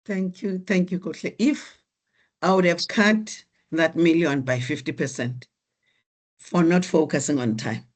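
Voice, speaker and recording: A course facilitator, giving slow, encouraging feedback to participants over a video call. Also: medium pitch. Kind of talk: relaxed class feedback.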